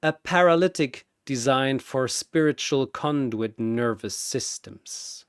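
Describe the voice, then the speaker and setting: A man's voice: medium pitch, slow pace, smooth, dry recording, clean studio sound. A man voicing a cold, gloating mad scientist captain who speaks in a clinical, condescending, formal way, in a dramatic story. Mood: clinical, smug.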